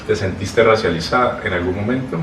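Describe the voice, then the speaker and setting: A man's voice: lowish voice, a little fast, a bit bright. A man asking questions as an interviewer. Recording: roomy, distant mic, faint noise.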